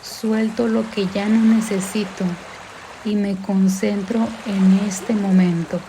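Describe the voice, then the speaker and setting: A woman narrating a guided mindfulness meditation in a calm, gentle, soothing way, giving unhurried instruction. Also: medium pitch, noisy background. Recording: clear water and sea ambience behind. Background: sea sounds and background music.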